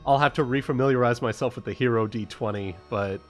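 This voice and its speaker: A man chatting casually as a livestream host, explaining things in a halting, stop-and-start way. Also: mid-range voice, soft background music. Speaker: livestream host and game master.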